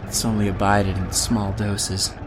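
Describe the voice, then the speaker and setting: Guy acting dazed slow-talking young man, hates himself, rambles, car noise behind. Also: lowish voice, level tone, lightly acted. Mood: wistful, bitter. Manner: woozy, drawn-out, rambling delivery. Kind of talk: audio drama acting.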